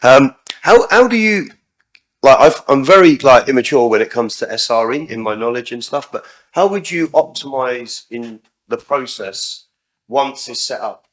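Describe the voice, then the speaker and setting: Loud-voiced man asking a question. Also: medium pitch, expressive, moderate pace, rough recording. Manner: chatty, uses many fillers like "like" and "you know". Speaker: audience member asking a question. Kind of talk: spontaneous question.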